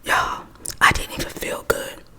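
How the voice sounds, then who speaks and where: A woman's voice: a little slow, sharp tone. A woman whispering a gossipy storytime while she does her makeup, close to the mic. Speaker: vlogger.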